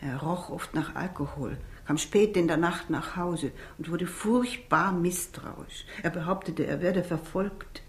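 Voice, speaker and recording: An actress as a deliberate, sombre housekeeper. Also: female voice, lowish voice, lightly acted. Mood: grave, reporting. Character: stout older housekeeper and landlady, opaque and sombre. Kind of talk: 1960s German radio crime drama acting.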